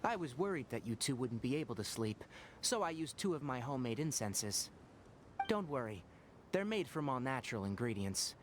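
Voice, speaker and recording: An actor playing a calm, measured young ranger scholar who explains things like a lecturer. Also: male voice, mid-range voice, background noise, close to an everyday voice. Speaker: ranger character. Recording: game audio with background ambience.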